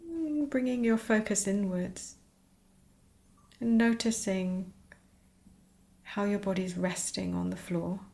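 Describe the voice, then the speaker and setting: A woman teaching a guided meditation, speaking soothingly in short, unhurried phrases with pauses. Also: medium pitch, creaky, a little mellow.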